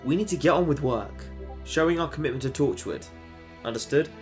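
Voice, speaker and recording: A man's voice: medium pitch, lively intonation, a little fast, faint music behind, natural voice. An actor playing a stern team leader who gives orders firmly and protects his people. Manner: firm, authoritative, swears when angry.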